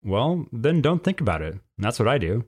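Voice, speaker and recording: A man's voice: medium pitch, sing-song, moderate pace, darkish tone, husky. A man playing a laid-back, cheerfully unbothered teenage male skink in a story reading, with a casual manner.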